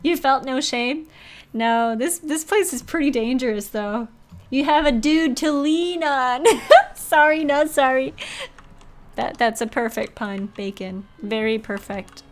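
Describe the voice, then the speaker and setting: A woman's voice: sits high, a little slow. A woman hosting a live game stream, talking casually and expressively and reading bits aloud in a chatty, giggly way.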